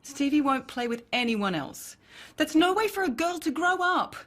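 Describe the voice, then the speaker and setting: High, animated, insistent mother. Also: normal speed. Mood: insistent, worried. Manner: insistent, scolding. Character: anxious, jealous, strict mother and wife. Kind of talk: radio drama acting.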